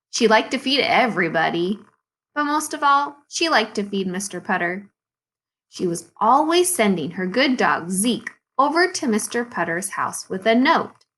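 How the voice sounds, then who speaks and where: A woman teacher reading a storybook aloud to second graders in an expressive, deliberate way, with dramatic pauses. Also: medium pitch. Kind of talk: storybook read-aloud for young children.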